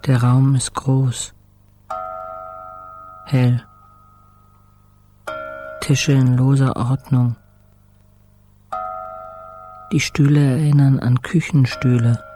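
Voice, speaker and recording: A man's voice: medium pitch, mellow, lightly acted. An actor playing an anguished hospital patient in a radio drama, telling his ordeal in fragmented, introspective first person. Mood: detached. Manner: slow, fragmented, introspective, sometimes whispering. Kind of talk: radio play monologue, scripted first-person narration.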